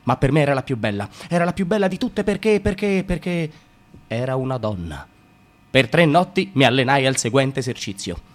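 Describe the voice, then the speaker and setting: An excitable young storyteller in a comic radio play, acted by a man, with a mid-range voice. He drops into hushed asides and then rushes into frantic retelling. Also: mid-range voice, lightly acted. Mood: wry. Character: young man recalling a clumsy adolescence.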